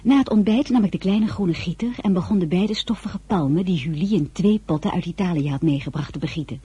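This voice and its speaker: A woman's voice: fairly low pitch, fairly quick, dark tone, slightly rough, no room sound, dull audio. An actress playing a spirited, stubborn young Frenchwoman who narrates her own story in a Dutch period radio drama. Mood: wistful narration.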